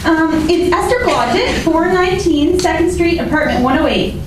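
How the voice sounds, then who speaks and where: A woman's voice: extremely high pitch, animated, normal speed. A woman playing a naive, flustered, fluttery schoolteacher in an old-time radio detective drama. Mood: coy. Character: ditzy, flustered female schoolteacher, chatty and naive. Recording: roomy, distant microphone, noticeable background noise.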